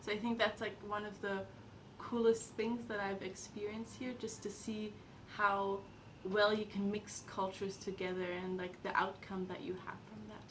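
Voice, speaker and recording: A young woman in her twenties, an intern, talking in a relaxed, chatty testimonial full of fillers. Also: medium pitch, normal speed, slight background noise, rough recording. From Austria and Germany, grew up in China and Thailand. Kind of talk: casual testimonial.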